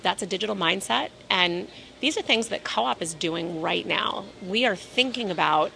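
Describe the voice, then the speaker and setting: A hoarse woman speaking in an interview at a conference, talking in a measured, businesslike way and slowing down to stress her points. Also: medium pitch, lively intonation, pushed and bright, slight background noise, low-quality recording. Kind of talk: casual interview. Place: at a conference in New York City.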